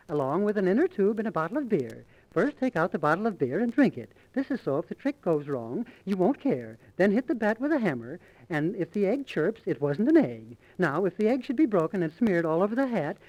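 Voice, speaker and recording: A man's voice: high-pitched, big pitch swings, a little fast, few pauses, a little mellow, low-quality recording. A man playing a gushing, playful lady who teaches parlour tricks in an affected singsong, in 1930s radio comedy. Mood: playful, mock-instructive.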